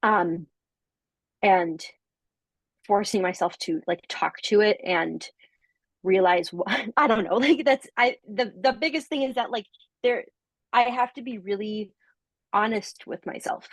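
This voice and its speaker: A woman's voice: mid-range voice, no room sound. Woman speaking in a dry, close sound. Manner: halting, many fillers and restarts, laughs often. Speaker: coaching client giving a testimonial. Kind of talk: casual reflective conversation.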